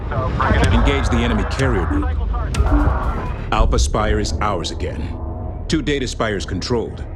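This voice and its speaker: An actor playing a calm, authoritative fleet intelligence officer in a sci-fi military game, speaking in clipped, formal briefings. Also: male voice, mid-range voice, close to an everyday voice. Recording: game audio under loud music. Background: loud music.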